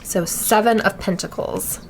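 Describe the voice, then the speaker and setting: A woman's voice: medium pitch, a little slow, slight background noise, rough recording. A woman co-hosting a podcast in casual chat, with an irreverent, self-mocking, sweary manner. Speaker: podcast co-host.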